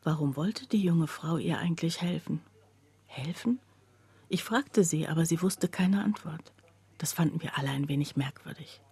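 An actress playing a troubled woman who recounts her own breakdown in a radio play, with a husky, breathy voice. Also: low-pitched, moderate pace, a little mellow, exaggerated voice. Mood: uneasy, hushed. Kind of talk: scripted first-person radio play narration.

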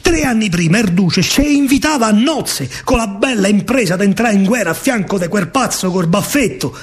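Male stage actor, Roman accent, highish voice, very animated pitch, declamatory war storytelling in verse. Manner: dramatic, declamatory, with pauses. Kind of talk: theatrical verse monologue as a soldier narrator.

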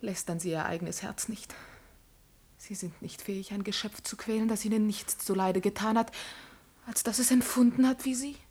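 A woman's voice: mid-range voice, normal speed, darkish tone, husky, close to an everyday voice. An actress playing a proud, earnest young commoner girl in a classical radio drama, with solemn, formal declamation. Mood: calm reproach.

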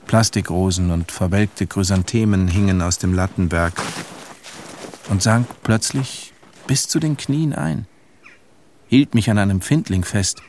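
An actor playing a somber, reflective grown son who narrates a literary radio play in a measured, pensive manner. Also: male voice, sits low, darkish tone, slight background noise. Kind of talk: literary first-person radio drama narration.

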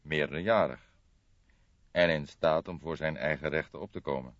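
A man voicing a dry, deliberate older police detective in an audiobook, with a measured, formal, probing way of talking. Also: extremely low pitch. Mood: thoughtful. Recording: dull, roomy audiobook recording.

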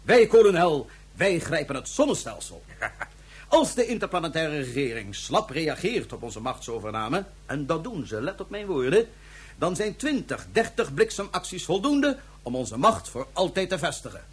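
A smug would-be emperor of the solar system, pitched on the high side and swooping theatrically from line to line. The delivery is pompous and mockingly polite, with a slightly husky edge, in the manner of a science fiction radio play. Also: male voice, sing-song, moderate pace. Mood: grandiose gloating. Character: smug megalomaniac dictator plotting to seize the solar system. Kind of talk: radio drama acting.

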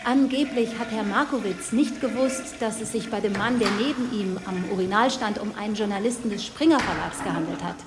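An actress playing an earnest television field correspondent giving a parody live report in a radio satire. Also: female voice, mid-range voice, fairly quick, few pauses, over music, close to an everyday voice.

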